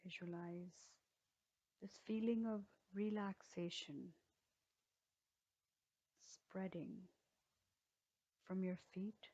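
A woman yoga teacher leading a guided healing meditation in a slow, soothing way with gentle pauses. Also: mid-range voice, fairly even intonation, breathy edge, lo-fi sound.

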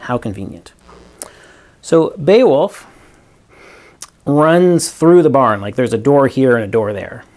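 A man's voice: medium pitch, animated, slow pace, pauses often, darkish tone. Man explaining physics with toy props. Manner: explains with stuffed animals and a toy barn, thinking aloud with ums. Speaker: physics professor. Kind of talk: recorded video lecture with props. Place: small room in front of a green wall.

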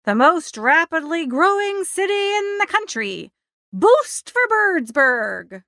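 A woman's voice: extremely high pitch, sing-song, slow pace, bright, forceful tone, dry recording, clean studio sound, exaggerated voice. A woman performing a hearty, eager American small-city businessman in an audiobook story. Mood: eager boosting. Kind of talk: audiobook character reading.

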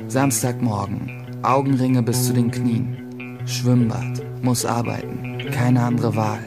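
A man acting a stressed, secretive teenage schoolboy narrating his own life in a radio play, with a husky, gravelly voice. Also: medium pitch, little pitch movement, slow pace. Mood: weary, resigned. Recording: dry studio, faint music behind.

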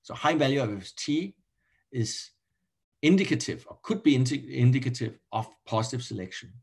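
Male professor, middle-aged, Danish accent, slightly rough voice, halting explanatory online lecture delivery. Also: medium pitch, lively intonation. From Denmark. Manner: halting, self-correcting, repeats words. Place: indoor room, on a video call.

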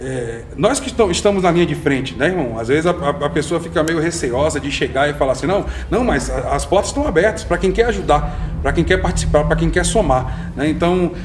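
A man's voice: on the high side, animated, fast talker, a little hiss or hum. A young man from Salvador hosting a casual panel chat, talking earnestly and tagging his points with "né". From Salvador, Bahia, Brazil. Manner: earnest, often tags lines with "né". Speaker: podcast host. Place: community center room, seated on sofa with panel.

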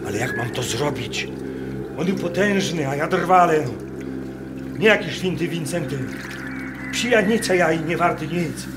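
An actor playing a simple, hard-drinking Masurian woodcutter who sees visions, in rustic, halting dialect for a radio play. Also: male voice, on the high side, animated, noisy background. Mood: anguished doubt. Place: wooden barn, staged with musicians. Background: music.

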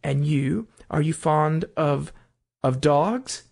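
A woman voicing a polite, eager little girl in a storybook, earnest and quick to apologise. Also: deep voice, vocal fry, a little mellow, heavily acted. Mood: eager chatter.

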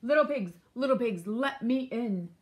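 A woman voicing a greedy, blustering male storybook wolf who bellows drawn-out threats. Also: fairly high pitch, lively intonation, slight background noise, close to an everyday voice. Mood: menacing, loud.

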